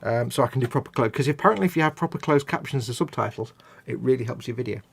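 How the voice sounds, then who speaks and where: A husky-voiced British man chatting casually on a live video stream. He talks in a loose, self-deprecating way, restarting his sentences and laughing at himself. He sits at a desk mic and speaks at an ordinary, middling pitch. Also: very fast. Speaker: host of a model-building channel. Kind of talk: casual chat on a livestream.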